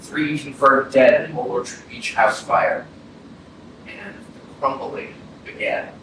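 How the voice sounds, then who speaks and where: A man's voice: mid-range voice, fairly even intonation, takes it slowly, lightly acted. A man acting in a staged reading as a grieving young man, telling his story in a reflective dramatic monologue. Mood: reluctant, haunted. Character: grieving young man recounting a friend's death. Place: arts center stage, distant mic. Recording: very echoey hall, distant, clear noise.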